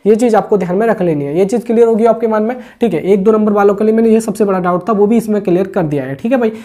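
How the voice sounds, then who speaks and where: A man talks quickly in a soft, mellow tone. He explains things informally like an online coaching host, circling back over each point and checking that listeners follow. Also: high voice. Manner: repetitive, with frequent check-in tags. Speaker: exam-update YouTube host. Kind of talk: informal explanatory exam briefing.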